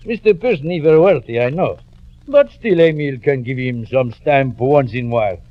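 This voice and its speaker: An actor playing a chatty, sly French fishing-camp keeper with a French accent, broken English and ingratiating question tags, in 1940s radio style. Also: male voice, medium pitch, expressive, moderate pace, close to an everyday voice. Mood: chummy, wheedling. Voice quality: gravelly, husky. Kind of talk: 1940s radio character acting. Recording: muffled vintage radio recording.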